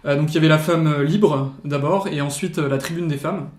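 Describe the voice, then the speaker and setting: A man's voice: on the high side, a little fast. A man co-hosting a casual discussion show, talking through his research with many fillers and restarts. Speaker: podcast co-host. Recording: echoey room.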